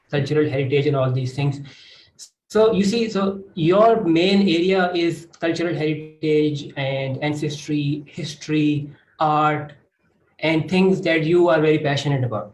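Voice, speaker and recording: Man, Pakistani accent, slightly high voice, echoey room, encouraging panel advice. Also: darkish tone. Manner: encouraging, explanatory, repeats points in synonyms. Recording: echoey room with faint noise.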